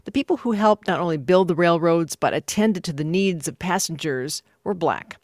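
A woman's voice: fairly low pitch, expressive, normal speed, a little mellow, no room sound, clean studio sound. A woman hosting a broadcast interview, moving between scripted introductions and questions. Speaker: radio host.

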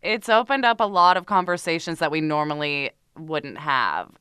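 A woman's voice: deep voice, animated, moderate pace, sharp tone, smooth, no room sound, clean studio sound. A woman chatting casually as an interview guest, informal, candid and wry. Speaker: podcast co-host being interviewed. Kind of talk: casual chat.

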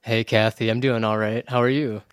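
A man's voice: on the low side, flat delivery, moderate pace, clean tone, no echo, studio quality. A young American man chatting casually as an interview guest, with an informal, slangy, effusive way of talking. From southern Minnesota, United States. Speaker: interview guest, wildlife photographer.

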